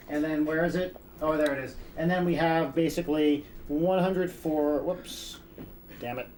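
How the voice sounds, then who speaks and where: A man hosting a stream, talking in a halting way full of fillers as he counts up donation totals. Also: fairly high pitch, fairly even intonation, darkish tone, a little hiss or hum. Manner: halting, filler-heavy, adds up numbers aloud. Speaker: stream host tracking donations. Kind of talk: casual stream chat.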